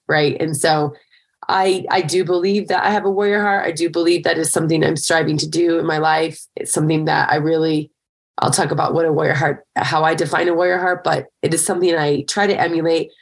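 Woman, middle-aged, low voice, slight cold, chatty upbeat solo podcast host. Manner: upbeat, chatty, with fillers and light laughs. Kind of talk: casual solo podcast talk.

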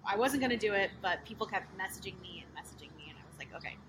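A woman's voice: medium pitch, racing. A young woman from California, a home baker, talking casually with lots of "you know" and "like". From Costa Mesa, California. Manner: relaxed, many fillers like "you know" and "like". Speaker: small business owner, baker. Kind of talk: casual interview guest. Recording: noisy background.

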